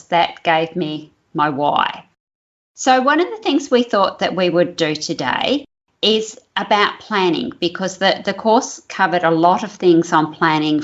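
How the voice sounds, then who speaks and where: Woman hosting a podcast, lowish voice, kinda muffled sound. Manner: friendly, introduces topic and guest. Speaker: podcast host. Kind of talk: podcast interview.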